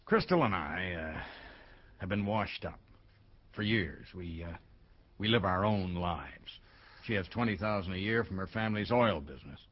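An actor playing a troubled, blunt American businessman and wronged husband in a radio drama, speaking haltingly. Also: deep voice, lo-fi sound. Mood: bitter. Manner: halting, hesitant, with bursts of bluntness.

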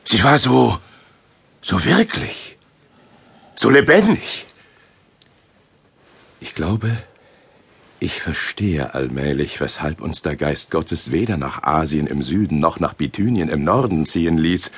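An actor playing a fervent, devout missionary leader in a biblical audio drama, speaking earnestly and emphatically. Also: male voice, very deep voice, lively intonation, takes it slowly, long pauses, husky, exaggerated voice. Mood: awed, urgent.

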